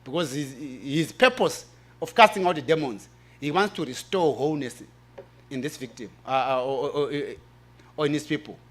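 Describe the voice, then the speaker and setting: High voiced guy presenting at a church forum, kinda preachy explaining, repeats stuff for emphasis. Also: normal speed. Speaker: presenter answering questions at a religious forum.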